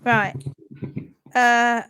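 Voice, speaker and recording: Woman, croaky sore-throat voice, chatty livestream host, dry close computer mic. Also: fairly high pitch, flat delivery, extremely slow, fairly bright tone, dry recording. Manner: chatty, mutters to herself while fumbling with the screen. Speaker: host of a hoof care livestream. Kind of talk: casual livestream talk and teaching. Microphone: computer mic on a video call.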